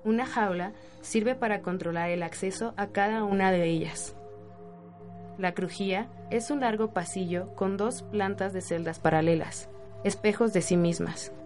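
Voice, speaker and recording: A woman's voice: medium pitch, normal speed, darkish tone. Woman narrating over music. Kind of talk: scripted radio drama narration.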